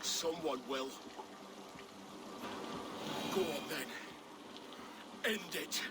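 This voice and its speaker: An actor playing a stoic, loyal medieval warrior resigned to death in a video game, terse and grim. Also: male voice, a little high, very slow, noisy background. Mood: grim defiance. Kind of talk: dramatic video game acting.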